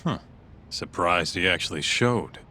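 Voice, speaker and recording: Brash casual sidekick in a video game, low punchy voice, bit of street noise. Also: male voice, expressive, a little slow, pushed and bright, exaggerated voice. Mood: brisk, surprised. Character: brash, casual tough male sidekick of a detective. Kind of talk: naturalistic video game cutscene acting.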